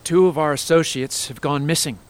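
A man acts out a well-mannered gentleman investigator who asks his questions politely and formally. His voice sits slightly high. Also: fairly quick, natural voice.

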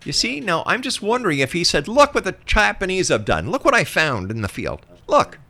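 A man's voice: high-pitched, huge pitch swings, fairly quick, pushed and bright. A man hosting a talk interview, putting probing questions emphatically and conversationally to a guest he addresses as a friend. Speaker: interview show host.

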